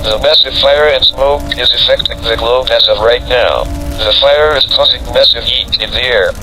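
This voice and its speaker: A man voicing a flat male emergency alert announcer in a scripted broadcast. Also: mid-range voice, normal speed, soft background music, natural voice, poor audio.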